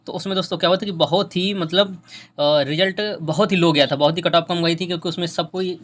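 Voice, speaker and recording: A man's voice: sits high, fairly even intonation, brisk, a bit bright. A young man gives an informal, reassuring explainer to exam candidates, often repeating phrases and adding little check-in questions. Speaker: exam preparation YouTuber.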